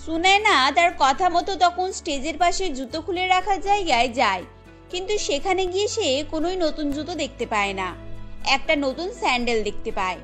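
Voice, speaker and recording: A woman's voice: high voice, lively intonation, normal speed, fairly bright tone, faint music behind. A woman narrating a scripted cartoon story.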